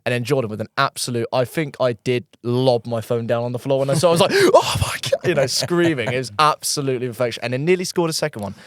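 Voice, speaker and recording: A young man co-hosting a casual fan podcast, excitable and animated as he tells it. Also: mid-range voice, fast, hardly any pauses, no echo, clean studio sound. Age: young adult. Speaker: podcast co-host.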